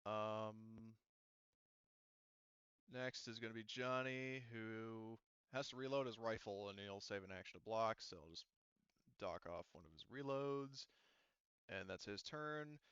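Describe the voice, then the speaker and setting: A man acting as game master in an online roleplaying session, thinking aloud and haltingly working through rules and dice. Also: on the low side, fairly bright tone, smooth, no echo, lo-fi sound.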